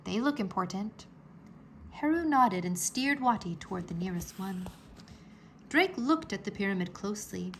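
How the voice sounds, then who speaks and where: A woman narrating a children's storybook aloud in a deliberate, dramatized way. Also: mid-range voice, expressive, slight background noise.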